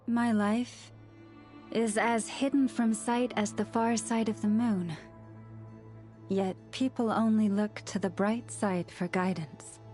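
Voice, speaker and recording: Woman playing a slow, solemn female spiritual leader. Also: medium pitch, fairly even intonation, soft background music, natural voice. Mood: regretful, solemn. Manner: measured, solemn, gentle. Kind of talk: video game voice acting.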